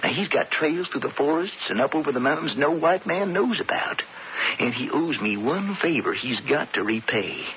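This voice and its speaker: An actor playing a sly, bitter older-brother cowboy in a radio western, persuasive and folksy with an American Western drawl. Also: male voice, on the high side, expressive, normal speed, close to an everyday voice. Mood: confident, coaxing. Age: adult, older brother. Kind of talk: radio western drama acting. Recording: muffled, hissy 1970s radio broadcast.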